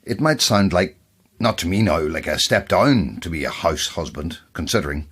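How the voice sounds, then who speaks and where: An actor with an Irish accent playing a wry, sceptical, tipsy husband in naturalistic audio drama dialogue. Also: male voice, deep voice, animated, normal speed, a bit bright, husky, full character voice. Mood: apologetic.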